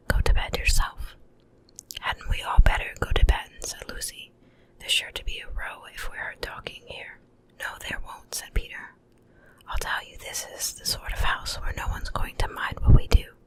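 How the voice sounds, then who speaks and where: A woman speaks entirely in a soft, breathy whisper. She has the gentle, hushed delivery of an ASMR bedtime reader. The close stereo mic places her voice right at the listener's ears. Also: extremely high pitch. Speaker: ASMR host reading a bedtime story. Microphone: close stereo mic, ear to ear.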